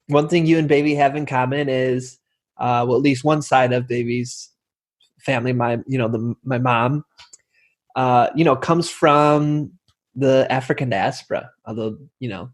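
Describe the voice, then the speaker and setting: A young man hosting a casual home interview, rambling with lots of fillers and restarts. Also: mid-range voice. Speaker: podcast host.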